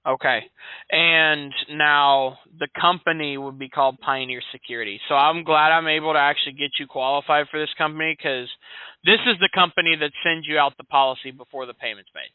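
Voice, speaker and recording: A friendly young salesman in his late twenties speaks casually, with many fillers. His voice sits a bit high, and the sound is dry and thin, as if it came through a phone. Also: fairly even intonation, a little fast, smooth, dry recording. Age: 20s. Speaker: insurance sales agent. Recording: dry, tinny phone line.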